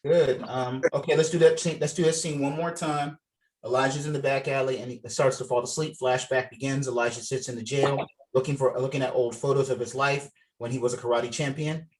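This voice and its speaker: Male director, mid-range pitch, casual instructions, scene narration, table read on video call. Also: steady tone, fairly quick, darkish tone, rough recording.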